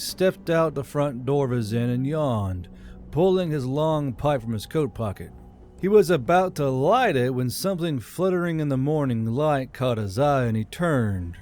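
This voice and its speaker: Man narrating at a middle pitch. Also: darkish tone. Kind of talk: scripted fantasy audiobook narration.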